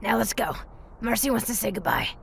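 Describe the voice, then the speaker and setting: A woman playing a tough, protective old adventurer with a raspy voice in an audio drama. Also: medium pitch, very animated, brisk, slight background noise. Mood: bossy, urging. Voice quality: raspy, gravelly.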